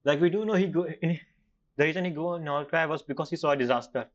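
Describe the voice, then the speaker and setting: Man reacting to a game, slightly muffled. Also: fairly high pitch. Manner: halting, non-fluent English phrasing. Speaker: game streamer. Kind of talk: casual gameplay reaction commentary.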